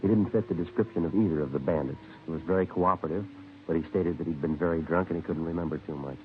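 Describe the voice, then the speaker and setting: An actor playing a terse, businesslike American police sergeant in a 1950s radio crime drama, clipped and matter-of-fact. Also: male voice, sits low, quick pace, a little hiss or hum, close to an everyday voice. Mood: deadpan, factual. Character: terse, businesslike male police sergeant narrating his case. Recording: dull, phone-like vintage radio recording, faint music.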